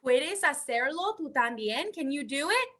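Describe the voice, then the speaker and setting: A woman's voice: high voice, big pitch swings, normal speed, a bit bright. A woman teaching bilingually at an interactive children's story time, upbeat and encouraging.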